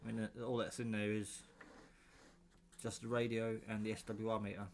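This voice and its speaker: Low-voiced British man, casual DIY vlogger. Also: flat delivery, moderate pace, a little hiss or hum. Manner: rambling, informal, mildly sweary. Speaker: hobbyist vlogger presenting own radio build. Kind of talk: casual unscripted demonstration talk. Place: home kitchen.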